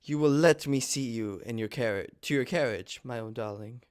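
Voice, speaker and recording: Stage comedy reading: proper, earnest, touchy young English gentleman played by a man, mid-range voice, dry recording. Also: mid-range voice, normal speed, clean tone, dry recording, natural voice. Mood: devoted, polite.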